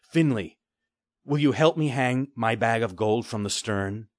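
A man voicing a young pirate boy who speaks tensely and urgently, raised in pitch, in a storybook reading. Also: a little slow, dry recording, studio quality, close to an everyday voice.

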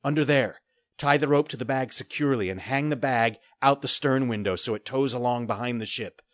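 A man voicing a young pirate boy who speaks tensely and urgently, raised in pitch, in a storybook reading. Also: fairly quick, no room sound, studio quality, natural voice.

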